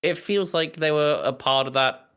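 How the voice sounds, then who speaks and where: A man's voice: a little high, moderate pace, fairly bright tone, smooth. A man co-hosting a podcast, chatting casually in a nerdy, explanatory way. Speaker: podcast co-host. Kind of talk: casual chat.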